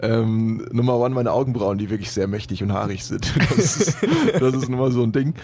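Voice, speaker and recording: A man's voice: fairly low pitch, a little fast, darkish tone, slight background noise. A young man from Germany hosting a casual podcast chat, joking and laughing often, with lots of fillers. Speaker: podcast host.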